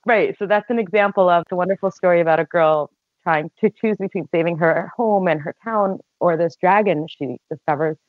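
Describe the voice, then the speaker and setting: A woman's voice: lowish voice, brisk, dry recording. A woman with an American accent, a literary agent, speaking earnestly and explaining on a podcast panel. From United States. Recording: dry, phone-like line.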